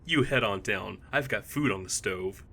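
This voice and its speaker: A man's voice: lowish voice, moderate pace, vocal fry, bright, forceful tone, heavily acted. A man playing an eerily cheerful, helpful young best friend in an audio drama, chirpy and overfamiliar. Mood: cheery, welcoming. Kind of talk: exaggerated audio drama acting.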